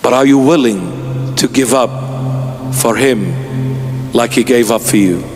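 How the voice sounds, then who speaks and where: A man's voice: mid-range voice, slow pace. A bishop in his fifties preaching an earnest, emphatic sermon with repeated phrases. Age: 50s. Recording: long hall reverb with music behind.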